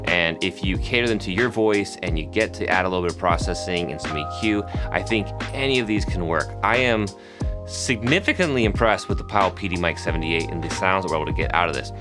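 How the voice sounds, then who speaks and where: A man in his thirties with a low, nasal voice talks easily to camera, dotting his sentences with fillers. He sits close to a studio mic in a small home room. A clear music bed plays under him. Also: a little fast. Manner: relaxed, chatty, with frequent fillers. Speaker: video host testing microphones. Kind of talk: casual gear review to camera. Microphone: studio microphones close in front.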